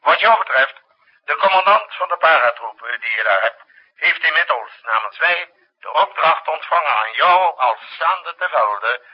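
An actor playing a genial but authoritative president, speaking in a formal, measured way in a 1960s radio drama. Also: male voice, on the high side, expressive, breathy edge. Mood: formal, congratulatory. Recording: dull, muffled 1960s radio recording.

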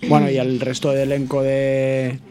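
A man with a Spanish accent from Spain hosting a casual live radio discussion, halting and repeating words as he searches. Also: mid-range voice, monotone, dark tone. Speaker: radio show host.

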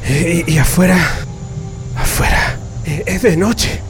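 A male actor plays a nervous Chilean railway worker held prisoner in a radio drama. His fairly high voice halts and repeats words as he steadies his comrades, music behind him. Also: slow. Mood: uneasy. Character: anxious detained railway worker hushing and calming comrades. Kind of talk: radio play acting.

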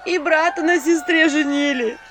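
Shrill, slow lamenting village woman in an audiobook, high and pleading , put on by a woman narrator, noisy hiss behind. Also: lightly acted. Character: wailing, pleading village woman, middle-aged. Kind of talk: audiobook character voice.

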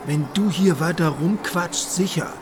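Man acting a surly, high-voiced gambler. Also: unhurried, noisy background, natural voice. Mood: surly, irritated. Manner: brusque, sneering. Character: surly, greedy, sneering male slot-machine gambler. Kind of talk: colloquial radio play acting.

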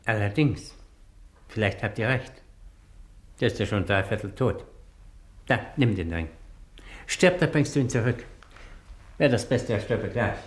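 Greedy elderly miser for radio drama, lively intonation, fretful and muttering. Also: male voice, fairly low pitch, a little slow, pauses often. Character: greedy, suspicious old miser, male. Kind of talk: theatrical radio play acting.

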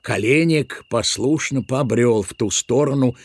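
A man's voice: mid-range voice, measured pace, dry recording. A man narrating a literary audio drama in a scripted storytelling style. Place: dry studio.

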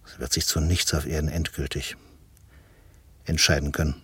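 An actor playing a weary, resigned doctor in a wartime radio drama, explaining himself hesitantly and deliberately. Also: male voice, rumbling low, fairly even intonation, fairly bright tone, husky, no room sound, exaggerated voice. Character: weary, resigned male doctor fleeing the war. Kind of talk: dramatic radio play acting.

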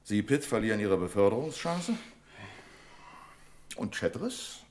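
An actor playing a proud, wry bank official in a radio play, dry and authoritative, quick to turn indignant. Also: male voice, fairly low pitch, sing-song, slight background noise. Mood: resigned, wry. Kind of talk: German radio play acting.

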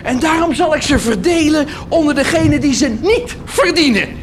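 Monk huckster, very high slow voice, sly cajoling patter, noisy radio-play recording. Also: male voice, expressive. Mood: jovial, cajoling. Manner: theatrical, cajoling sales patter, punctuated with laughs. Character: wheedling monk huckster selling indulgences to peasants. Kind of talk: radio drama acting.

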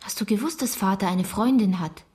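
A woman's voice: mid-range voice, brisk, dark tone, natural voice. An actress playing a worried, insistent teenage girl, a protective sister, in a radio drama. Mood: anxious, probing.

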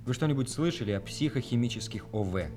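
Male actor as composed army officer, medium pitch, serious measured delivery, radio drama, faint music. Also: animated, a little mellow, natural voice. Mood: grave. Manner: grave, measured, explanatory. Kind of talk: radio play acting.

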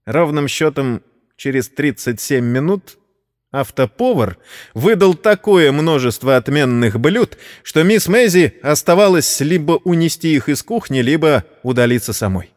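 A man's voice: on the high side, lively intonation, a little slow, big-room sound. A man narrating a scripted story in a dramatic audio-play style.

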